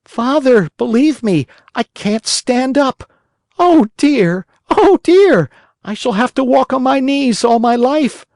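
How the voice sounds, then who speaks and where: A high, whining puppet boy, weepy storybook role. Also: unhurried, a little mellow, dry recording, clean studio sound. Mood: despairing. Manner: high-pitched, whining, sobbing pleas. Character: wooden boy puppet, young, tearful, wailing.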